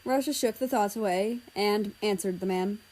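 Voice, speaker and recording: Female story reader, mid-pitched, scripted fiction narration. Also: normal speed, a little mellow, low-quality recording.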